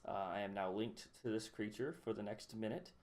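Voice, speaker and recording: A man's voice: on the low side, fairly even intonation, brisk, darkish tone. A man playing a tabletop game, describing his turn in a hesitant way, thinking aloud. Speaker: player. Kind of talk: casual tabletop play.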